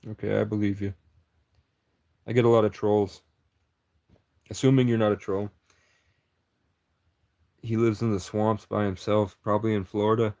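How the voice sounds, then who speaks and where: Low-voiced man rambling on a stream. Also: little pitch movement, normal speed, long pauses, warm tone. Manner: meandering, conspiratorial monologue. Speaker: livestreamer answering chat. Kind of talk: rambling livestream chat.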